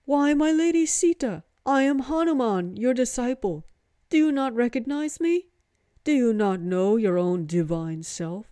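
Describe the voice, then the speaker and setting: A woman's voice: on the high side, unhurried, warm tone, no room sound, studio quality, pitched above the performer's own voice, lightly acted. A woman voicing an exuberant, devoted male monkey god who speaks with grand reverence.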